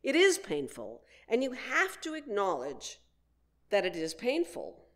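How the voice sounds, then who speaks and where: A woman minister delivering a prepared sermon in measured, deliberate phrases with frequent pauses. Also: mid-range voice, huge pitch swings.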